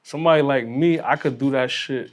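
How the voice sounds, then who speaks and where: Man, American accent, average pitch, blunt, slangy, emphatic, casual podcast guest. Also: fairly quick.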